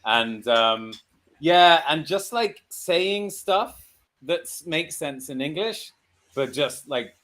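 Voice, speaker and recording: Male discussion host, informal and encouraging, voice a touch high. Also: expressive, takes it slowly, fairly bright tone. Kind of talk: casual live group discussion show.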